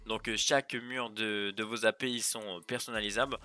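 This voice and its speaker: A man's voice: fairly low pitch, fairly even intonation, moderate pace, bright, forceful tone. A man casually presenting features of a game server over a screen recording, chatty and hesitant, full of fillers and restarts. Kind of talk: casual gameplay showcase narration.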